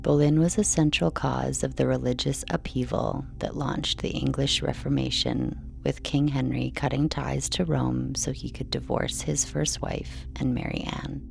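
A woman reading a scripted bedtime narration in a calm, measured, soothing way. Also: very deep voice, creaky. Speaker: narrator, bedtime story reader. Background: faint music.

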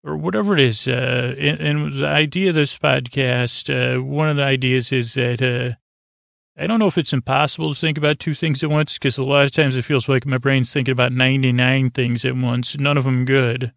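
A man with a creaky voice hosting a sleep podcast in a lulling, rambling way, hesitating and over-explaining as he goes. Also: mid-range voice, steady tone, moderate pace, a bit bright, dry recording. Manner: soothing, lulling. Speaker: podcast host.

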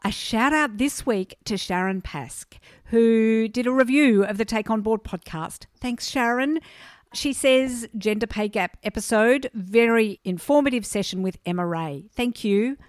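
A woman's voice: on the high side, unhurried, no room sound, clean studio sound. A woman with an Australian accent hosting a podcast, chatty and upbeat as she introduces her guest. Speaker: podcast host. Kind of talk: podcast interview.

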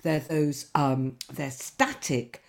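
A woman's voice: sits low, lively intonation, slow, gravelly. A woman, a podcast co-host telling rambling, sweary anecdotes in casual chat, her nose slightly stuffy.

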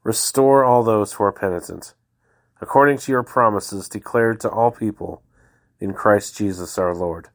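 A man with a slightly low voice leads a daily prayer service, reading the liturgy in a calm, devotional way with slow pauses. He sounds stuffy from a head cold. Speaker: podcast host leading daily prayer.

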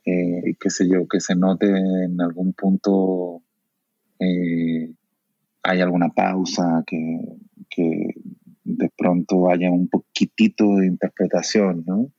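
A Chilean novelist in his forties with a Chilean Spanish accent, speaking thoughtfully, with many pauses and fillers. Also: deep voice, level tone, dark tone, slightly rough, no echo, highs cut off. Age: 40s. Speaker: novelist guest. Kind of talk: reflective conversation.

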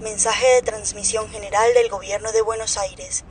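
Woman playing a flat-toned automated announcer. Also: medium pitch, moderate pace, slight background noise, close to an everyday voice, low-quality recording. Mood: neutral, formal. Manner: formal, neutral announcing. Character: automated voice assistant reading messages and government alerts. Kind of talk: scripted announcement in an audio drama.

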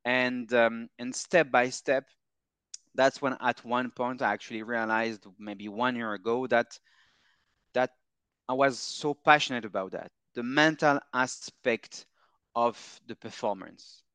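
A man in his thirties with a French accent, speaking in a smooth, resonant voice that sounds close and dry. He talks his way through answers with pauses, fillers and repeated words. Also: medium pitch, fairly even intonation, bright, forceful tone, no echo. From France. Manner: hesitant, repeats words and phrases, many fillers. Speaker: mental coach. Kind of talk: relaxed interview answers.

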